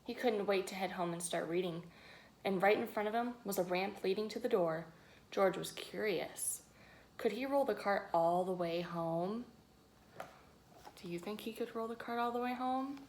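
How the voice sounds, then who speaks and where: A woman reads a picture book aloud in a teacher's storytelling style for young children. Her voice sits at a medium pitch and she is expressive, addressing the listeners directly. There is faint noise behind her in the recording. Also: normal speed.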